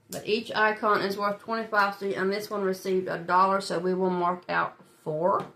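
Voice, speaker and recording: Woman, stuffy nose from a cold, gravelly fry, chatty budgeting host. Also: mid-range voice, a bit bright, a little hiss or hum. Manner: chatty, talks to viewers while working, small laughs. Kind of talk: casual talk while filling savings challenges.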